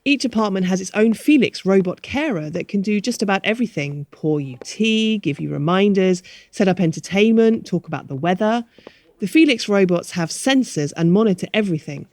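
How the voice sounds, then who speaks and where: A woman's voice: medium pitch, expressive, a little fast, darkish tone, dry recording, lightly acted. A woman playing a harried but polite manager of a retirement home in a radio play.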